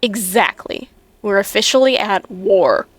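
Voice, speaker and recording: A woman's voice: mid-range voice, a little slow, no echo, natural voice. A woman voicing a spirited, eager and defiant teenage schoolgirl in a comic dub, earnest and emotive. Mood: grumbling. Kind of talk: earnest fandub acting.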